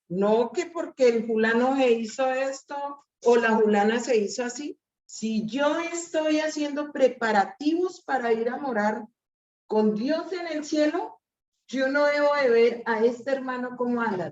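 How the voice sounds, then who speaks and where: A woman in a church group speaking in an earnest, deliberate way. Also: high voice, darkish tone. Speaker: church member. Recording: distant echoey room, muffled.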